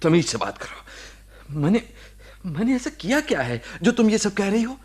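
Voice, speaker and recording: A husky male voice plays a sick former boss in a radio play. His pitch moves a great deal as he defends himself. He is halting, earnest and self-justifying. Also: big pitch swings, exaggerated voice. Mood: pleading, defensive. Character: ailing married former boss, defensive and pleading. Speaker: radio drama actor. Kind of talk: Hindi radio play acting.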